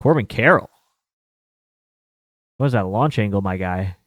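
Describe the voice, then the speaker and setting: A man's voice: on the low side, expressive, measured pace, fairly bright tone, no room sound, studio quality. A man around thirty hosting a sports betting show, talking in a rambling, casual way with many fillers and some mild swearing. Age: 30s. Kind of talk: casual chat.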